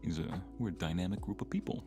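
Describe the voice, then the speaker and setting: A man's voice: sits low, quick pace, music in the background. A man chatting casually as a guest on a livestream, joking and chipping in.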